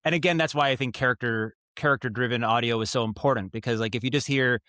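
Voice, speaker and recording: A man speaking as a podcast guest in casual interview talk, explaining his craft in a halting, thoughtful way with frequent self-corrections. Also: medium pitch, pushed and bright, no echo, clean studio sound. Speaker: guest, audio drama writer and director.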